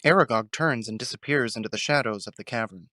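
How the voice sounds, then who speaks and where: A man's voice: mid-range voice, fast talker, sharp tone, no room sound. Dry, close-sounding male narrator. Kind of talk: dramatic scripted narration.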